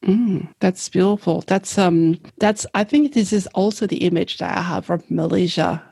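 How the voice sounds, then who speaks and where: A woman's voice: on the low side, normal speed, vocal fry, mellow, slightly rough, no echo. Dry-sounding woman host. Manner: attentive, encouraging listener. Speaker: podcast host. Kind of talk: scripted intro and interview.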